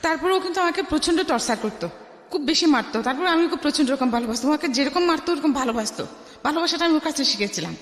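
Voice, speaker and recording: A woman with a Bangladeshi Bengali accent tells her life story as a radio interview guest, haltingly, restarting phrases and dropping in English words. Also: sits high, lively intonation. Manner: halting, with repeated false starts and English words mixed in. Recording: echoey with long reverb.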